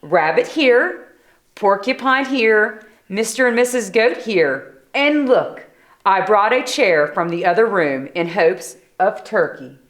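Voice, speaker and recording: A woman's voice: medium pitch, animated, takes it slowly, roomy sound. A woman reading as a gracious, delighted female storybook moose welcoming guests. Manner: welcoming, politely directing guests.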